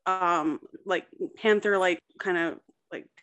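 A woman's voice: fairly low pitch, slow, a bit bright, smooth, muffled recording. A woman guest author speaking casually in an interview, hesitantly piecing her explanations together. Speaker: guest author discussing own story.

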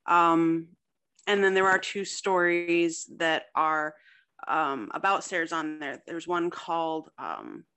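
Bright-voiced woman, chatty and self-deprecating. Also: low voice, takes it slowly, smooth. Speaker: guest, fiction writer and contest winner. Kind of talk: casual interview chat.

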